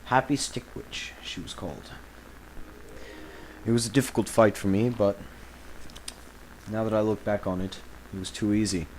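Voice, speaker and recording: Man acting a solemn low-voiced embattled villain. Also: fairly even intonation, a little mellow, a little hiss or hum, close to an everyday voice, poor audio. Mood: grave, reflective. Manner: halting, solemn, with dramatic pauses. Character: embattled male villain recording a grave confessional audio log. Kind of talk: dramatic in-character monologue, audio log.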